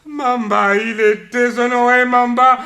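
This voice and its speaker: Radio drama role: tormented religious thief, very high, sharp voice, pleading and lamenting. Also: male voice, fairly even intonation, slow, creaky, exaggerated voice. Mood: frantic despair. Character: desperate, guilt-stricken religious man accused of murder. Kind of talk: 1960s French radio drama acting.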